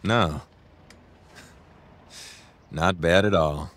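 Sincere young gang boss, unhurried. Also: male voice, sits low, fairly bright tone, exaggerated voice. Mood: fond. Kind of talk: video game cutscene acting.

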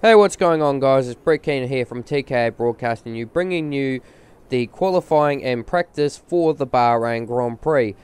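A man's voice: medium pitch, moderate pace, darkish tone, smooth. A man with a voice strained from a cold, giving rambling, casual commentary over a racing game with many fillers.

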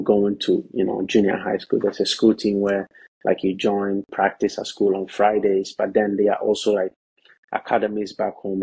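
A young man from Ghana, a professional soccer player, speaking as a podcast guest over a video call in a measured way, with lots of "you know" and "um". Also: fairly low pitch, gravelly, dark tone, slightly rough, low-quality recording. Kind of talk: casual interview talk. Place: indoors, bright room at home. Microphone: video call, laptop or phone camera.

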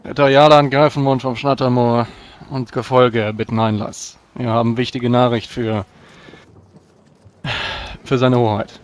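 A man's voice: mid-range voice, level tone, unhurried, lightly acted. A man playing a proud, weary knight with a formal, commanding manner. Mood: formal, urgent.

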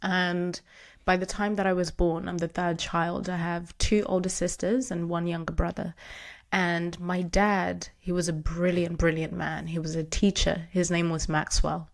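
A young woman from Zimbabwe, a guest in an interview, telling her family story in a warm, conversational way with easy laughter. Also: fairly low pitch, flat delivery, unhurried, gravelly.

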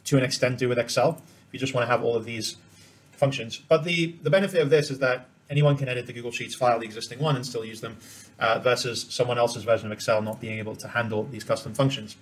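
A man's voice: medium pitch, fairly even intonation, quick pace. A man presenting an informal screen-share tutorial, explaining each step as he demonstrates it. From Cambodia.

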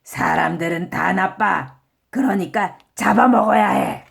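A woman's voice: mid-range voice, measured pace, gravelly. A woman voicing a sly, ungrateful male tiger in a children's storybook, switching between false politeness and bullying. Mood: menacing, smug.

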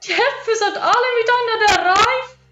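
A woman voicing a talking apple tree from a fairy tale, squeaky and pleading. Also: normal speed. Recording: echoey, dull lo-fi, music behind.

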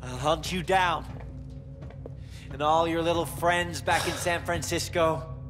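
An actor playing an arrogant, vengeful tech villain in a video game, speaking with menace and contempt. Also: male voice, on the high side, fairly even intonation, takes it slowly, background noise. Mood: vengeful threat. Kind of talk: video game cutscene acting.

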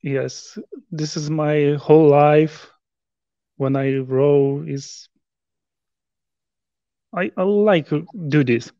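A man in his thirties from Poland with a Polish accent, speaking halting English with many restarts in a video call interview. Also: on the high side, mellow, no room sound, highs cut off. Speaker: interview guest, rower. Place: living room at home.

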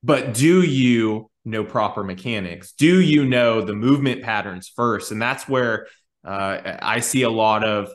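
A man's voice: fairly low pitch, a little slow. A man in his thirties hosting a conversational podcast on a video call, explaining his points with emphasis and rephrasing as he goes. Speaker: podcast host, fitness coach.

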